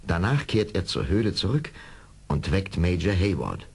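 The narrator of an old adventure radio play. His voice is deep and husky, with lively rises and falls in the scripted storytelling. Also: normal speed, exaggerated voice. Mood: suspenseful. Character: male storyteller of an adventure tale.

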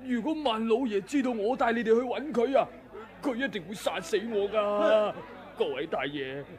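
A man's voice: high-pitched, moderate pace, close to an everyday voice. An actor with a Hong Kong Cantonese accent playing a timid old male scholar who wheedles and cries out in fear, in a 1980s radio drama. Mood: pleading.

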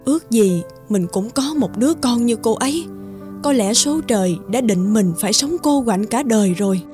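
A woman's voice: a little high, expressive, normal speed, music in the background, close to an everyday voice. A woman voicing a kind, lonely older peasant mother in a cartoon fairy tale, fussing and soothing as she talks. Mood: wistful. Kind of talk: animated fairy tale voice acting.

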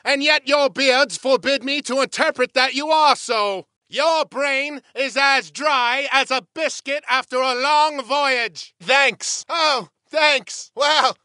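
A cocky, combative man-at-arms from a medieval video game. His voice is strained very high and cuts through brightly. He barks at a measured, slow pace with theatrical, mock-courtly grandeur. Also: dry recording, exaggerated voice. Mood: scornful. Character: aggressive, taunting medieval man-at-arms soldier.

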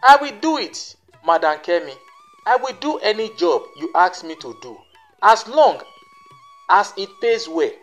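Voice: medium pitch, big pitch swings, measured pace, a bit bright, soft background music. A narrator voicing a naive, hopeful young village woman in a folktale, eager and grateful.

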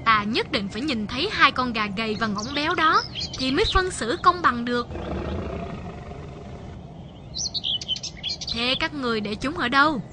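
A performer voicing a pompous, commanding, impatient tiger king in an animated fable. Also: high-pitched, fairly quick, noisy background. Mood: lordly. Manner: lordly and commanding.

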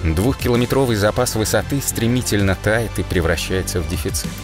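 A man reading a dramatic first-person story as an audiobook narrator, expressively and in short measured phrases. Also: fairly low pitch. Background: music.

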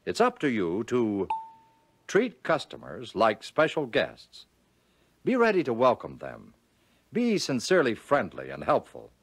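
An American man reading scripted narration for a 1940s instructional film, giving key phrases measured, instructive emphasis. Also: medium pitch, wildly swooping pitch, slightly rough, no room sound.

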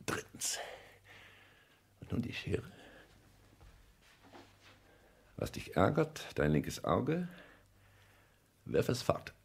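An ambitious social climber from a sixties radio play. His speech is formal and earnest, sweeping widely up and down in pitch as he declaims with calculated humility. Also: wildly swooping pitch, slow pace, gravelly, full character voice. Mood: muttering, self-absorbed. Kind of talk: 1960s German radio play acting.

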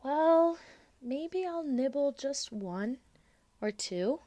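A fussy, stubborn little girl from a picture book, as a woman reader plays her. Her pitch swings about in an animated way and her words come out slowly and emphatically. The sound is close and dry. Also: no echo, exaggerated voice. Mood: warming to it.

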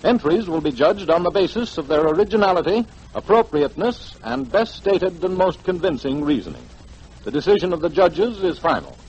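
Male American announcer, 1940s radio promo, formal, high-pitched and lively, muffled vintage recording. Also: moderate pace, slightly rough. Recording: dull 1940s radio broadcast.

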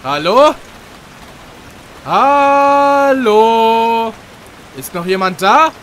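A man's voice: extremely high pitch, lively intonation, extremely slow, fairly bright tone, heavily acted. An actor playing a whiny, indignant wounded gunman in a comic radio play. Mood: bewildered. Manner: whiny, grumbling. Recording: faint rain noise behind voice.

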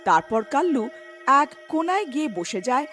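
A woman's voice: sits high, sing-song, moderate pace, a bit bright, faint music behind. A woman narrating a scripted cartoon story as its narrator.

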